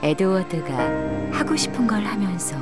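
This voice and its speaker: Casting: actress as tender young boy's mother, soothing, very lively intonation, loud music underneath. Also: mid-range voice, moderate pace, natural voice. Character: gentle, loving mother of a young boy. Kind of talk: Korean audio drama acting.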